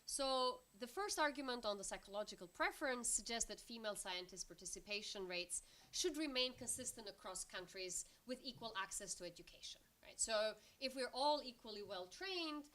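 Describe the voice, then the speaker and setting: A woman's voice: a little high, lively intonation, a little fast, fairly bright tone. A woman from Albania giving an academic conference presentation as a researcher, explaining step by step.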